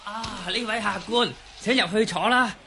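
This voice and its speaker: A man's voice: sits high, moderate pace, vocal fry, background noise. A man acting an obliging, fussy inn waiter in a Cantonese radio drama, with servile welcoming patter. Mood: welcoming, eager.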